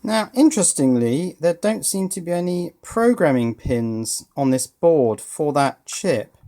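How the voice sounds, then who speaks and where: A man's voice: a little high, expressive, a little slow, mellow. A man giving unscripted teardown commentary as a hobbyist presenter, thinking aloud with dry, understated humour.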